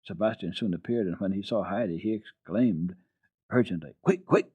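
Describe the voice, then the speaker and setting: A man giving an expressive, deliberate dramatic reading of children's literature as a radio storyteller. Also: sits low, husky. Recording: dull phone-like old radio recording, roomy echo.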